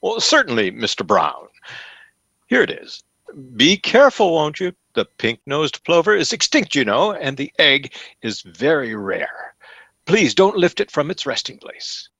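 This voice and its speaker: A man's voice: fairly high pitch, measured pace, gravelly, husky, no echo, dull audio, heavily acted. Fawning pompous salesman, swooping theatrical voice. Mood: eager, fussy. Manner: effusive, flattering, theatrical, chuckling. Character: fawning, pompous salesman at a grand sporting goods store. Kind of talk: old-time radio comedy acting.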